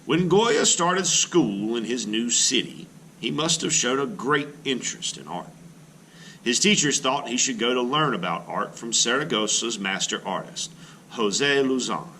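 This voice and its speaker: An art teacher reads a children's book aloud in a bright, crisp voice. He breaks in with his own asides for the class, and his pitch swings widely as he reads. A faint hiss sits under the recording. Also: measured pace, low-quality recording. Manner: expressive storybook reading with teacherly asides.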